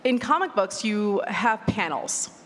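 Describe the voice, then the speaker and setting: A woman's voice: mid-range voice, lively intonation, measured pace, a bit bright. A woman on a live panel, explaining her creative craft in a conversational way. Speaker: audio fiction creator on a panel. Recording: echoey hall, clear ambient noise.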